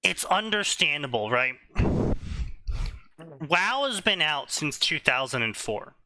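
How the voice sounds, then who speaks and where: A man co-hosting a casual stream, talking slowly and deliberately, often checking "right?". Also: on the high side, big pitch swings, pushed and bright, no room sound, low-quality recording. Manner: explanatory, slow and deliberate, asks "right?" often. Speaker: stream co-host.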